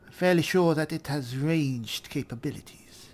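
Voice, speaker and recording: A man thinking aloud in character as he plays a roleplaying game, measured and deliberate, often muttering to himself. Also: fairly high pitch. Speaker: gamer narrating a roleplay playthrough.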